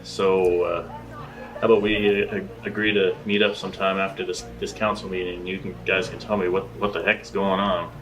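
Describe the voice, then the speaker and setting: A man's voice: low-pitched, little pitch movement, moderate pace, a bit bright, low-quality recording. A man playing in a tabletop roleplaying show, improvising casual, teasing banter with music underneath.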